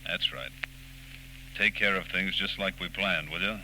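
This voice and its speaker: Controlled, polite crime boss, noisy recording. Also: male voice, deep voice, fairly even intonation, sharp tone, exaggerated voice. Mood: coolly sinister. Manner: measured, formal, menacingly polite. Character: smooth, controlled male crime boss, condescendingly patient. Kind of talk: 1940s radio drama acting. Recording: noisy vintage 1940s radio recording.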